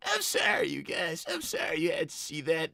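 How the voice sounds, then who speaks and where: Someone voicing an exasperated, creaky young cartoon fox boy. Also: very high-pitched, huge pitch swings, normal speed, bright, forceful tone, dry recording, clean studio sound, full character voice. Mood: weary, apologetic. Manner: stammering, exasperated, argumentative. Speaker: host of a live character stream. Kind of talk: improvised in-character chat, reading donations.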